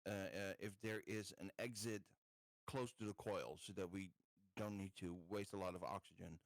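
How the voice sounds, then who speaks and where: A man's voice: sits low, fairly even intonation, moderate pace, dry recording. A man playing a tabletop game, talking casually and tentatively as he puts questions and plans to the group.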